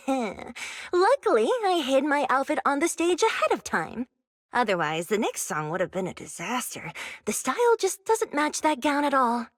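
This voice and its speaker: A spirited young pop idol from an anime game, high and very animated. She is dreamy yet determined and expressive, closely recorded with music underneath. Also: normal speed, no room sound, exaggerated voice. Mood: delighted. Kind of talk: anime-style game voice acting.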